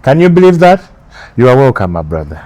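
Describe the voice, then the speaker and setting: A man hosting a casual interview, with a lively introduction to the show and then short, steady prompts and agreements. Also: medium pitch, fast talker. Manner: lively host intro, otherwise brief affirmations. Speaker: interview host.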